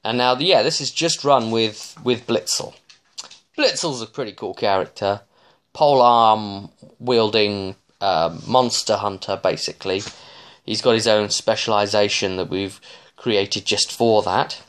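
A man in his thirties with a fairly low voice talks casually in a small living room, picked up by a camera about an arm's length away. He recaps as a tabletop game master in a halting, digressive way, often restarting mid-sentence. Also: fairly bright tone. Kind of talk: casual, rambling vlog recap.

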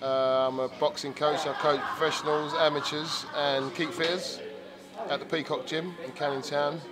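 A man's voice: fairly high pitch, flat delivery, moderate pace. Male coach, 50s, plain and level, busy gym crowd behind. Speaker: boxing coach. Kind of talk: casual interview.

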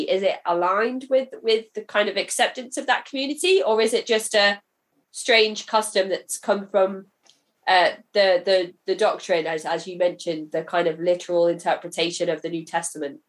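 A woman's voice: mid-range voice, fairly even intonation, normal speed. A woman hosting a podcast interview in a casual, chatty and inquisitive way.

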